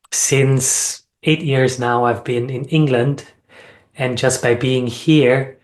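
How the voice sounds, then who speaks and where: Even-toned, earnest man in reflective conversation. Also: medium pitch. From Austria. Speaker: sound healing facilitator and interview guest. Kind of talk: reflective interview talk about personal experience.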